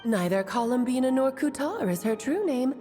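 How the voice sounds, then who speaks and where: A woman's voice: high voice, expressive, normal speed, mellow, faint music behind. A woman playing a knowing, cryptic mage who gives confident instructions in a game cutscene, her recalled voice echoing. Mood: instructive. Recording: echoey reverb on a recalled voice.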